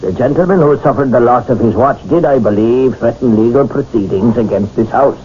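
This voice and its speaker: An actor playing a genial, modest lawyer new to the profession, courteous and formal, in a 1970s radio drama. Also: male voice, medium pitch, a little fast, dark tone, close to an everyday voice. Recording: muffled, noisy old radio recording.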